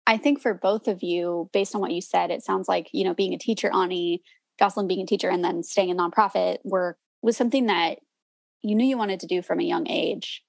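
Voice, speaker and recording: A woman hosting a relaxed podcast with friends, her voice lowish and full of vocal fry. She prompts gently and brings up memories they share. The sound is close and dry. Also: fairly quick, no room sound. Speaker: podcast host. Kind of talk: casual podcast conversation.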